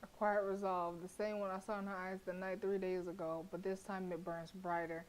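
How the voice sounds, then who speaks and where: A young woman streaming a horror visual novel, reading the narration aloud and breaking into blunt, profane, animated reactions with frequent laughter. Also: medium pitch, fairly quick, a little hiss or hum.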